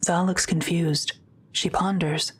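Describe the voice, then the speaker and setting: A woman's voice: on the low side, steady tone, slow pace, fairly bright tone, breathy edge. A woman reading scripted audio drama narration in a neutral, matter-of-fact way, describing action in the present tense.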